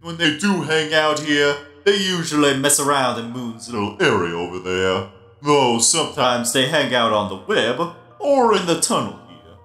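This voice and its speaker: A man's voice: mid-range voice, lively intonation, normal speed, a bit bright, close to an everyday voice. A man voicing a huge, friendly animatronic spider DJ for a comic dub, genial and playful as he explains things. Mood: playful, welcoming. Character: giant friendly half-blind animatronic spider DJ. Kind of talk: comic dub character acting. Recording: roomy echo, music behind.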